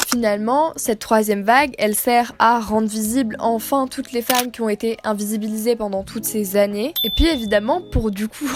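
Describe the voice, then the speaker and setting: Casual young french-speaking woman explaining. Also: fairly high pitch. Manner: casual, informal asides with fillers. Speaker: video creator. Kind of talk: explanatory video essay.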